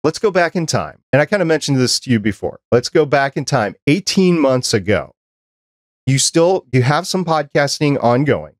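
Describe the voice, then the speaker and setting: Man, 50s, podcast host, conversational, medium pitch, close desk mic, dry. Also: big pitch swings, moderate pace, no room sound. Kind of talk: conversational interview on a livestream. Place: small home office, indoors. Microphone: close desk microphone on a video call.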